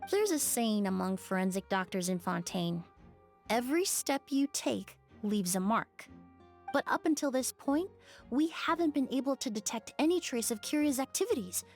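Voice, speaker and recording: A woman playing a composed, methodical forensic expert in a game, explaining things in a measured, precise way. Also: fairly high pitch, lively intonation, faint music behind. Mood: calm, explanatory. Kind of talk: game dialogue acting, expository.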